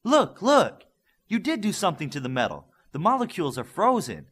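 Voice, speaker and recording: Man putting on a motherly, excitable woman scientist, high-pitched. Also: fairly quick, breathy edge, full character voice. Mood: excited. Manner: eager, emphatic.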